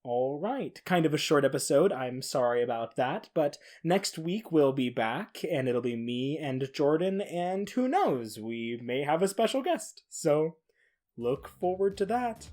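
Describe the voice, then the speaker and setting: A man's voice: on the high side, big pitch swings, measured pace, darkish tone. A man who hosts a podcast, speaking on his own. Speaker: podcast host. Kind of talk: dramatic reading of a science-fiction horror log; casual podcast sign-off.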